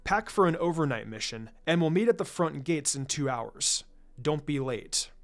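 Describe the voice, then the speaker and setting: A man voicing a calm, laid-back ninja teacher in a story reading, measured and matter-of-fact. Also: a little high, soft background music, voice pushed down. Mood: instructive. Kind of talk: storybook-style character reading.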